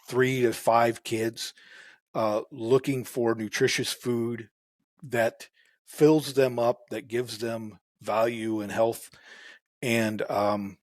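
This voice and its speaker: A man who farms, talking in a relaxed way as a podcast guest, with many fillers and restarts. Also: medium pitch, no room sound. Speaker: farmer guest. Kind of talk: casual interview talk.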